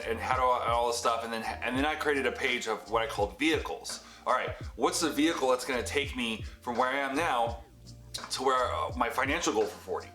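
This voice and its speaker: A man's voice: medium pitch, sharp tone. A man in his thirties talking casually to the camera in a home office, rambling and correcting himself as he goes. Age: 30s. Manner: rambling, filler-heavy and self-correcting. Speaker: business vlogger. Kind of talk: casual talking-head vlog. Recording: echoey room with light music. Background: heater humming.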